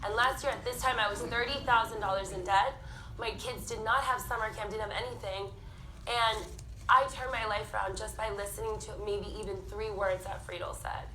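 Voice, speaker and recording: Woman, 30s, panel speaker, bright forceful tone, roomy hall with faint hiss. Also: mid-range voice, brisk. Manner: candid, animated storytelling. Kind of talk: personal testimony on stage. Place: theatre stage.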